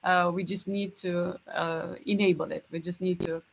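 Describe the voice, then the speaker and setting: A woman moderates an online Q&A in a slightly low, creaky voice. She speaks haltingly with frequent pauses, and the call audio sounds a little dull. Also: fairly even intonation. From Romania. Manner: halting, pausing often mid-phrase. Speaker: session moderator. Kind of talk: informal Q&A moderating. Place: online Zoom conference.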